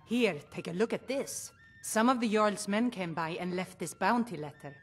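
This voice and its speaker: An actress playing a welcoming, matter-of-fact tavern innkeeper in a fantasy video game. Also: female voice, mid-range voice, expressive, normal speed, breathy edge. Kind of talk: video game character acting.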